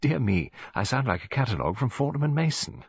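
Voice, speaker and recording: A genteel English lady near seventy, as a male narrator puts her on, chatting and rambling politely with very lively, swooping pitch. The voice is a little husky, in a dull, close, dry audiobook recording. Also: fast talker, dry recording, exaggerated voice. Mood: chatty, cheerful. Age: older.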